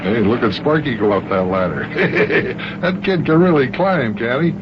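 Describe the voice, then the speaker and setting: A man playing a calm, easygoing, reassuring grown-up pal in a children's radio serial. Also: mid-range voice, measured pace, natural voice. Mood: friendly, amused. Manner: relaxed, reassuring. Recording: noisy, muffled old radio recording.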